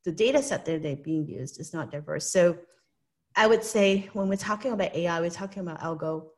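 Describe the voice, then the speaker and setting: A woman's voice: fairly low pitch, brisk, a little mellow. A woman from Hong Kong with a Hong Kong English accent, a guest giving earnest, explanatory answers in an interview and often checking in with "right?".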